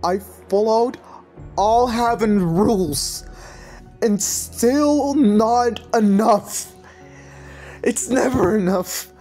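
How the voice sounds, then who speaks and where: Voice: mid-range voice, extremely slow, pauses often, dark tone. A performer voicing an anxious, emotional male king of hell in a fan comic dub. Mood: distraught. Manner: frantic, pleading, easily distressed. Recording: faint music behind.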